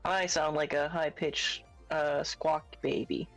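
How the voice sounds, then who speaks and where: A man chatting casually on a stream, quick and laughing at himself. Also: fairly high pitch, fairly even intonation, a bit bright, faint music behind. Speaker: co-host on a game prep stream.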